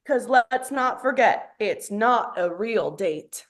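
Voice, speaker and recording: Slow-paced, cocky young guy, read by a woman. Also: a little high, animated. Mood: cocky, teasing. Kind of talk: sitcom table read.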